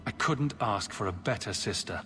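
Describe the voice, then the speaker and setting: A man playing a steady, protective heroic leader in a video game, speaking in a calm, resolute manner. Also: fairly low pitch, normal speed. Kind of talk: dramatic video game cutscene acting. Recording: long reverb, game music behind.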